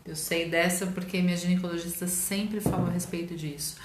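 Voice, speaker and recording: A woman's voice: on the low side, steady tone, brisk, reverberant, slight background noise. A woman from São Paulo, a psychologist guest chatting on a live stream, adding remarks with tag questions. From São Paulo, Brazil. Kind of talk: casual live-stream chat.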